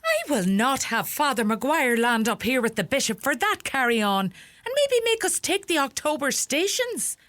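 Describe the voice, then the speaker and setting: High-voiced, stern Irish mother played by a woman. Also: big pitch swings, fairly bright tone, no room sound. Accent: Donegal Irish. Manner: brisk, commanding. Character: stern, bossy Irish farmhouse mother of grown sons. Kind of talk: radio play acting.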